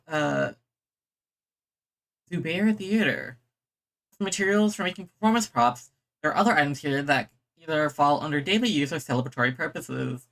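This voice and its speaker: A woman's voice: low-pitched, fairly bright tone. A woman streaming a game with casual, chatty commentary, reacting aloud and reading text out.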